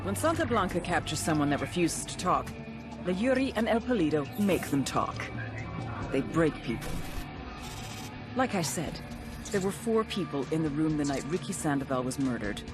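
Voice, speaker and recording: An actress playing a driven, hard-nosed intelligence agent in a video game, terse and commanding. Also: female voice, deep voice, expressive, normal speed. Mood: grim, storytelling. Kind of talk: video game dialogue and dramatic briefing narration. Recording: music behind the voice.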